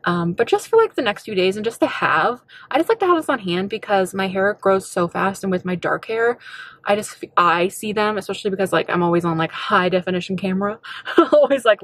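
A woman's voice: mid-range voice, animated, brisk, no echo. Bubbly young woman chatting in a parked car. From United States. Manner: bubbly, chatty, frequent fillers and giggles. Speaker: beauty vlogger. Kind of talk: casual haul chat to camera. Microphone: camera on dashboard at arm's length.

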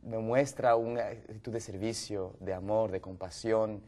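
A man's voice: lowish voice, fairly even intonation, moderate pace, darkish tone. Young man, thoughtful panelist. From Ecuador. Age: young adult. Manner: thoughtful, hesitant with fillers. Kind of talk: casual discussion. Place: TV studio set. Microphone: lapel mic.

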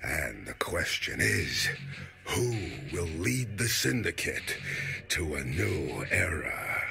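An actor playing a menacing wrestler crime boss with a grandiose, theatrical way of talking and an explosive temper, in a video game. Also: male voice, sits low, big pitch swings, takes it slowly, creaky, full character voice. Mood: smooth menace. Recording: loud music behind dialogue.

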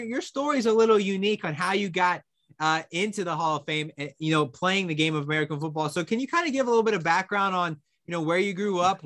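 A young man hosting a school program, introducing and interviewing a guest with an enthusiastic, energetic delivery. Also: high voice. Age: young adult. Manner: enthusiastic, energetic, talking with his hands. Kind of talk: upbeat presenter introduction and interview. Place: small room with a brick wall and memorabilia.

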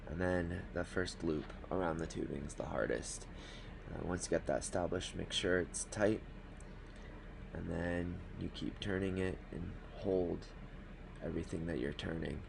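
A man calmly explaining a fishing knot step by step at a desk indoors. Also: deep voice, vocal fry, noisy background. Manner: calm, matter-of-fact, unhurried. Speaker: fly fishing tutorial host. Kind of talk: step-by-step instructional talk. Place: small indoor room at a desk.

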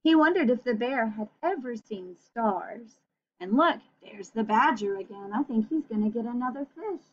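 A woman reading a picture book aloud for children in an expressive, gentle way, with chatty asides. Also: fairly high pitch, moderate pace, slight background noise. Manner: expressive, gentle, addressing young listeners. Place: small room at home. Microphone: computer webcam at arm's length. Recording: dull, muffled webcam recording.

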